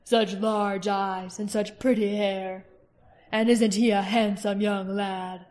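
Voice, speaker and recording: Female narrator voicing a menacing, slow-talking male king for an audiobook, flat delivery. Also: mid-range voice, close to an everyday voice. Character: cruel smooth-talking male tyrant king. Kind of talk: audiobook character reading.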